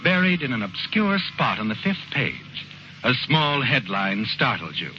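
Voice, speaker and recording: An actor narrating a vintage radio crime drama as a knowing, ominous storyteller who insinuatingly addresses the listener as the guilty one. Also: male voice, mid-range voice, big pitch swings, unhurried. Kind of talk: 1940s radio acting, second-person dramatic narration. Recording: muffled, noisy old radio recording.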